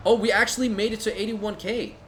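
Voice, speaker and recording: A man's voice: high-pitched, steady tone, fairly quick, fairly bright tone, slight background noise. Rambling man narrating his gameplay. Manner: rambling, thinks aloud, frequent restarts and swearing. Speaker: strategy game streamer. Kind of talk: casual gameplay commentary.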